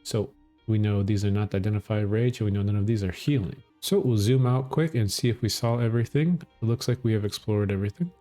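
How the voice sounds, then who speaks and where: Low, mellow Minnesota man. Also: fast, faint music behind. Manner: relaxed, explanatory, chuckles often. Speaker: gaming channel host. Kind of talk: casual gameplay commentary.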